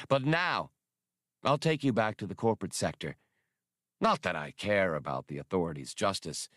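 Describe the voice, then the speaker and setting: A man's voice: on the low side, fairly bright tone, no echo, clean studio sound. A man reading an audiobook, voicing a condescending gunman villain with formal, menacing courtesy. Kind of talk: audiobook character dialogue.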